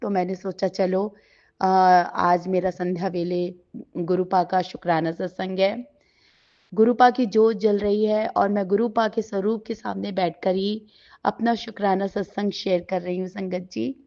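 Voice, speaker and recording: A middle-aged woman with a sore throat, sharing a devotional gratitude talk at home before a shrine, in a gentle, halting way with many pauses. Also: medium pitch, little pitch movement. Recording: slightly dull lo-fi recording.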